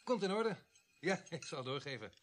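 An actor playing a blunt, suspicious police sergeant in a Dutch radio drama, with a husky, grumbling delivery. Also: male voice, fairly high pitch, very animated, normal speed. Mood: grumbling, familiar.

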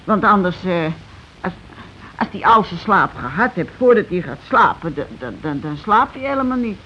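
An actress in a radio play as a shaken working-class housewife who speaks haltingly, repeats herself and falters mid-sentence. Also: female voice, lowish voice, sing-song, pushed and bright. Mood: flustered, explaining. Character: shaken working-class housewife circling around grim news. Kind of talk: naturalistic radio play dialogue. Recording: muffled, noisy old radio broadcast recording.

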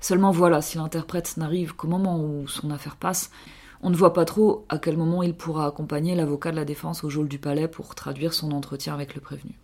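Woman, low voice, measured delivery, scripted radio column. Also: little pitch movement, warm tone.

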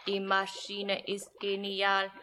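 A woman's voice: mid-range voice, completely flat delivery, slow, sharp tone, a little hiss or hum. An actress playing a newly created artificial young woman in a science-fiction radio play, reciting short statements like a naive machine. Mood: mechanical, blank.

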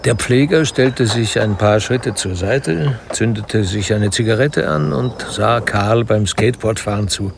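A man narrating a radio crime drama in a dry, measured, wry literary style. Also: fairly low pitch, vocal fry, noisy background.

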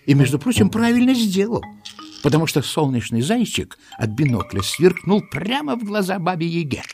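A man narrating a children's audio play in an expressive storytelling style. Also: high voice, normal speed, creaky. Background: music.

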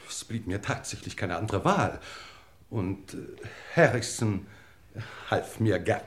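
Pompous aristocratic lord, animated delivery. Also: male voice, lowish voice, unhurried. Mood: self-justifying, composed. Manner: pompous, formal, elaborately worded. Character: haughty English lord, suave, smoothly confessing schemer. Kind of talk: old German crime radio play acting.

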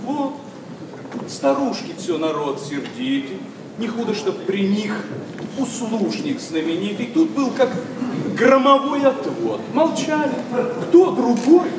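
High-voiced sardonic young gentleman. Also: sing-song, takes it slowly, full character voice. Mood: caustic. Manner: mocking, declamatory. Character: sardonic, passionate young gentleman with biting wit. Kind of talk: theatrical radio play acting in verse. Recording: echoey, distant, noisy stage sound.

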